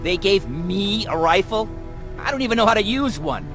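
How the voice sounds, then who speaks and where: A man's voice: sits high, animated, normal speed, music in the background. An actor playing a nervous, inexperienced male recruit in a video game. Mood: anxious.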